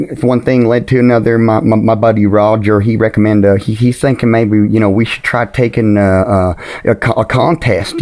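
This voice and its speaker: A man's voice: medium pitch, a little mellow, lightly acted. Man acting a stammering, sheepish middle-aged caller. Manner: stammering, hesitant, repeats words, overly polite. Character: sheepish rambling middle-aged drinker, politely apologetic and confused. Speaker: prank caller. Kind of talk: improvised phone prank call.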